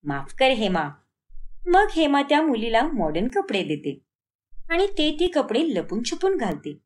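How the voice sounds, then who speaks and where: A woman's voice: sits high, sing-song, moderate pace. A woman narrating a scripted moral story as a storyteller.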